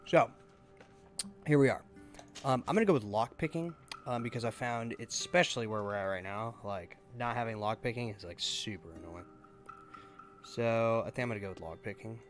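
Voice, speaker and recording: A man giving casual, halting gameplay commentary as a YouTuber, thinking aloud as he goes. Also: on the low side, soft background music. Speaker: gaming YouTuber.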